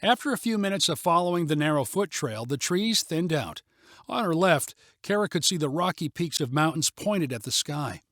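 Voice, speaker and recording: A man's voice: fairly high pitch, lively intonation, moderate pace, a bit bright, no room sound, clean studio sound. A man narrating a story in a scripted audiobook style.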